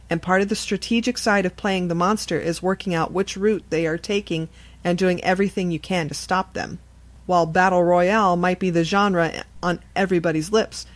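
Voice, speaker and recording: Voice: mid-range voice, no echo. A podcast presenter reading a scripted article aloud. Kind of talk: scripted article read aloud about games.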